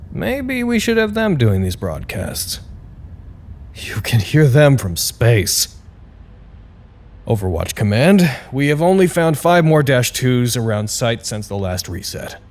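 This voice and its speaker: An actor playing a wry, deadpan announcer reading chatty status reports in a scripted audio drama broadcast. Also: male voice, medium pitch, a little hiss or hum. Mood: wry, reporting. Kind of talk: scripted in-character radio broadcast. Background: music.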